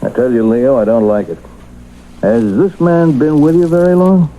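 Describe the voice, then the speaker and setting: A man's voice: medium pitch, fairly even intonation, normal speed, dark tone, close to an everyday voice, poor audio. An actor in a radio drama playing a skeptical, nosy man who questions others pointedly. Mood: skeptical, needling. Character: skeptical, nosy male health club customer.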